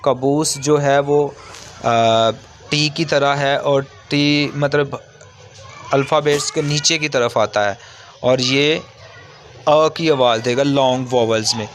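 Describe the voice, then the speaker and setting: Male tutor, mid-pitched and unhurried, halting and repetitive as he explains step by step; some background noise. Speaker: language teacher. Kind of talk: informal step-by-step lesson on vowel signs. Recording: clearly noisy background.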